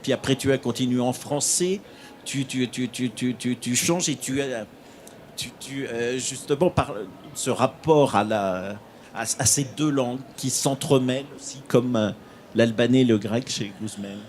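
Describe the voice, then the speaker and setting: A man moderating a conference panel. He asks questions hesitantly and fills his pauses with "euh". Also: mid-range voice, darkish tone, a little hiss or hum.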